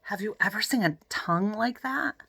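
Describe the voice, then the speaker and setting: A woman's voice: mid-range voice, moderate pace, creaky, sharp tone, breathy edge, heavily acted. A woman voicing a cheerful, enthusiastic dad in a children's story, upbeat and eager. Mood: excited.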